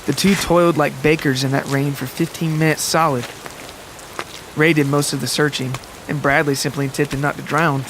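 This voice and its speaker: A man reading a scripted literary story as a dramatic narrator, with deliberate, descriptive phrasing. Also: on the high side, fairly even intonation, background noise. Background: music and rain sounds.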